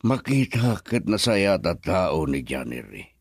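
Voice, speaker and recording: A man's voice: low voice, sing-song, unhurried, vocal fry, breathy edge, no room sound, studio quality, heavily acted. Man playing a stern father, dramatically swooping. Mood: stern, advising. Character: stern protective proud father of a grown daughter. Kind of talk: radio melodrama acting.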